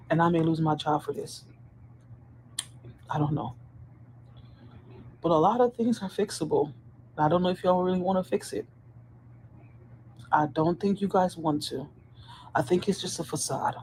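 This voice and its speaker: A woman's voice: low-pitched, a little mellow, a little hiss or hum, poor audio. Earnest woman on a personal video. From Dominican Republic. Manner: earnest, emphatic, restarts and repeats phrases. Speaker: corrections officer. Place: on duty at a hospital post.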